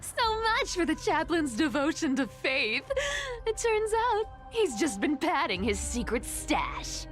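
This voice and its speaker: A woman's voice: high voice, big pitch swings, a little slow. A woman playing a sly, gleeful young thief in a video game, muttering theatrically to herself as she schemes. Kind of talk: video game character acting. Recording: music behind the voice.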